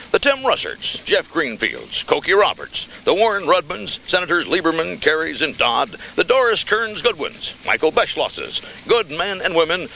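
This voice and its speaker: A man voicing a pompous veteran TV newsman who delivers a scathing, mock-solemn radio editorial in a grandiose, deliberate way. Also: fairly high pitch, gravelly, bright, forceful tone, slight background noise, full character voice, rough recording. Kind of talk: scripted mock editorial commentary.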